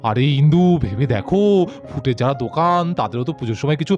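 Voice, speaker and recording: A man's voice: medium pitch, expressive, quick pace, a little mellow, dull audio, lightly acted. A man voicing a wry, penny-pinching husband in a doll cartoon, theatrical and coaxing, over music. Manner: theatrical, muttered asides and coaxing. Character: wry, penny-pinching husband who sweet-talks his wife. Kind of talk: doll cartoon story voice acting. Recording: faint music behind the voice.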